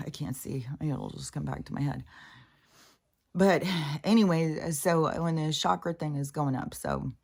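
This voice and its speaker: Low, scratchy-voiced woman rambling candidly. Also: vocal fry, a little mellow. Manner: loose and candid, drifts off mid-thought, swears freely. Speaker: spiritual channeler hosting her own channel. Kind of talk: rambling casual monologue.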